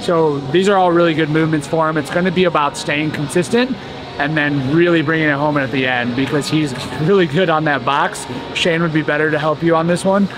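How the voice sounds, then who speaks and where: Male coach, 30s, steady explainer, higher voice. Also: a little fast. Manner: steady, explanatory, some fillers. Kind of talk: casual behind-the-scenes update. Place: large indoor competition venue. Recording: noisy arena background.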